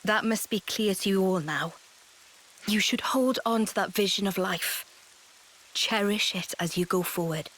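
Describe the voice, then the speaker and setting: An actress voicing a wise, solemn woman leader in a video game cutscene, explaining things in a measured, grave way. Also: medium pitch, fairly bright tone, a little hiss or hum, close to an everyday voice, low-quality recording. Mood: earnest, encouraging.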